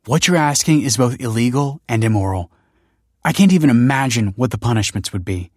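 A man's voice: fairly low pitch, moderate pace, slightly rough, no echo, clean studio sound. A man voicing a firm, tense married woman in an audiobook, insistent and emphatic. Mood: snapping, alarmed. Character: firm, tense wife, a fertility director.

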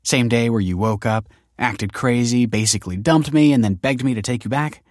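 Audiobook heroine read by a man: young, sharp-tongued, teasing, voice lifted higher, brisk and sardonic. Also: no room sound, studio quality, natural voice. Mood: teasing, incredulous.

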